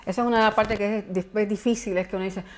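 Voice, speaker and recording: A woman's voice: medium pitch, steady tone, racing, noisy background. Puerto Rican woman giving a conference talk. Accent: Puerto Rican Spanish. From Puerto Rico. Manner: informal, explanatory, with chatty asides. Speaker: conference speaker on genealogy. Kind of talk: conference presentation. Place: conference hall.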